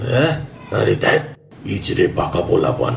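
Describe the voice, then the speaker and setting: Bullying greedy cartoon trader. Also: male voice, on the low side, huge pitch swings, normal speed, creaky, heavily acted. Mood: angry scolding. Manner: scolding, commanding. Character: gruff, greedy adult male trader hoarding goods, bullying children. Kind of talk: cartoon voice acting. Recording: music behind the voice.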